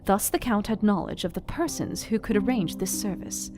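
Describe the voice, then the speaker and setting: An actress plays a young Victorian wife in a gothic audio drama, narrating from her journal. She is composed and intelligent, laying out each point with measured, formal precision. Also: mid-range voice, music in the background, close to an everyday voice. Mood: composed, analytical.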